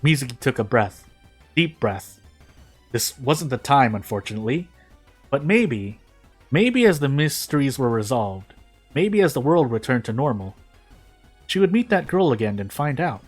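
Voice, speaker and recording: A man's voice: mid-range voice, very animated, normal speed, pauses often, husky, soft background music. A man doing casual gaming video narration and commentary in a rambling, self-deprecating way. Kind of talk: casual gameplay commentary and fanfic reading.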